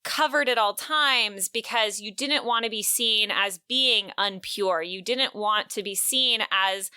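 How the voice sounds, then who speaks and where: A woman's voice: mid-range voice, measured pace, pushed and bright, clear, resonant tone, dry recording, studio quality. A woman co-hosting a casual book discussion podcast, explaining things in an earnest, emphatic way. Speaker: podcast co-host.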